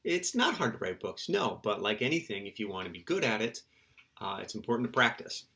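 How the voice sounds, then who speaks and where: A man's voice: on the low side, big pitch swings, brisk, creaky, bright, forceful tone. A middle-aged man, a children's book author, giving casual, playful interview answers in simple terms for young listeners.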